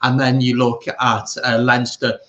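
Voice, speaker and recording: A man chats as a guest pundit on a sports show. His pitch is average for a man. He offers measured opinions in a conversational way, often checking them with a tag question. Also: completely flat delivery, a bit bright, muffled recording. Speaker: guest pundit on a rugby watch-along. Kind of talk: casual sports analysis.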